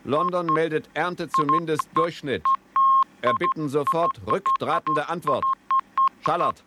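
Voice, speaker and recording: Heavy crackle surrounds a demanding boss in a radio play. He dictates telegrams slowly and imperiously, in clipped phrases with a sharply swinging pitch. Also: huge pitch swings. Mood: demanding, terse. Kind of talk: 1970s German radio play acting. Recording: loud crackling, clicking telegraph-like noise.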